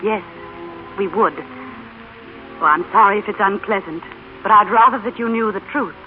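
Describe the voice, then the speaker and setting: An actress playing a composed, sympathetic upper-class lady in a vintage radio drama, speaking politely and gently in measured phrases. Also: mid-range voice, soft background music, close to an everyday voice. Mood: sympathetic, sincere. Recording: tinny, noisy old radio recording.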